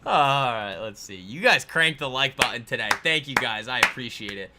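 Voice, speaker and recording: A man's voice: mid-range voice, expressive, moderate pace, heavy background noise. A man in his twenties hosting a casual trading livestream, rambling and laughing as he reads viewer comments aloud. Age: 20s.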